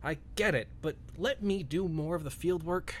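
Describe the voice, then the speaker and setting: A man's voice: a little high, normal speed, a little hiss or hum, close to an everyday voice. A man playing a sulky, impatient young research apprentice with a whiny manner in an audio drama. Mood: sulky, frustrated.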